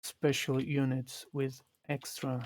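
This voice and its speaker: Flat-voiced Portuguese man streaming. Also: mid-range voice, a little hiss or hum. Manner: halting, restarts phrases while explaining. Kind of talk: live gameplay commentary.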